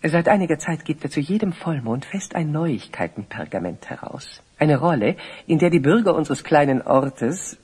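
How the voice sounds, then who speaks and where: An actor playing a dignified, courteous older village host with a breathy, very husky voice and formal speech in a fantasy audio play. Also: male voice, on the high side, animated, darkish tone. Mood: hospitable. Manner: formal, measured, hospitable.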